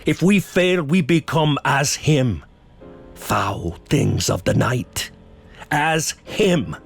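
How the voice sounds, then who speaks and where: An actor playing a grave older professor who hunts vampires, speaking in solemn, deliberate oratory in a horror audio drama. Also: male voice, medium pitch, lively intonation, gravelly, slightly rough, full character voice. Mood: grave, urgent. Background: music.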